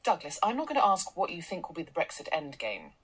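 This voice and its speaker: A woman's voice: fairly low pitch, wildly swooping pitch, fast, a bit bright, breathy edge, exaggerated voice. An actress playing a confident, assertive woman tech entrepreneur, in a radio drama. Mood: assertive, slick. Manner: slick, assertive, salesy.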